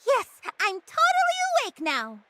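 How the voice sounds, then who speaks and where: A woman's voice: extremely high pitch, moderate pace, heavily acted. A woman voicing an eager, gushing, stubborn little girl in an anime-style game. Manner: excitable, gushing, childlike.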